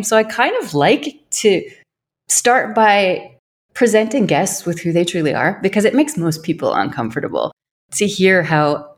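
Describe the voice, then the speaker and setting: A woman hosting a podcast, speaking earnestly and enthusiastically and laughing along with her guest. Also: on the low side. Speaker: podcast host. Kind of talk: interview, warm introduction.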